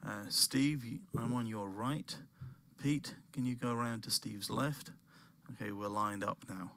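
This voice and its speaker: Slow, gravelly male ski guide. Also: on the low side, dry recording. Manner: calm, repetitive, directive cues. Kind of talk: step-by-step spoken guiding commentary.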